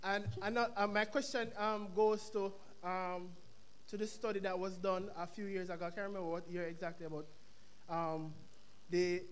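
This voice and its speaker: A young man with a Jamaican accent asking a question from the floor of a lecture hall, telling his story in an animated way. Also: sits high, moderate pace, clean tone. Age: young adult. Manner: animated storytelling, quoting others. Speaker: audience member asking a question. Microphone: stand microphone in audience.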